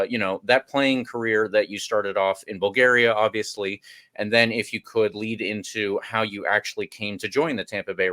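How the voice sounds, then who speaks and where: A man's voice: on the low side, fairly bright tone, dry recording. A man hosting a sports podcast, chatting casually and introducing his guest. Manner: casual, promotes charity shirt before interview. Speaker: podcast host. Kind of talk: podcast interview.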